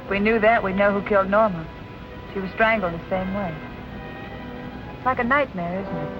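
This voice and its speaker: Casting: actress for young female amateur sleuth, vintage film noir, sincere and concerned, over clear background music. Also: medium pitch, moderate pace, close to an everyday voice. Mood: troubled. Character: earnest, worried young woman amateur sleuth. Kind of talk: 1940s film noir acting. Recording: muffled old film soundtrack, music underneath.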